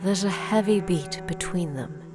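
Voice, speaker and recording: An actress giving solemn, measured scripted narration for a superhero audio drama. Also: female voice, fairly low pitch, lightly acted. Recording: music behind the voice.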